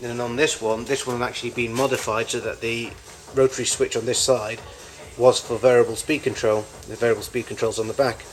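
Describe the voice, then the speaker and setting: Halting man giving an informal show-and-tell. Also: medium pitch, level tone, soft background music. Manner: halting, rambling, with self-corrections. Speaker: collector showing vintage tape recorders.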